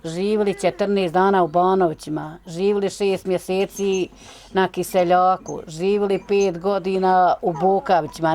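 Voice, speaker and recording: A Bosnian woman with a mellow, fairly low voice. She talks plainly to an interviewer, laying things out in short, simple phrases. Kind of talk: plain spoken recollection.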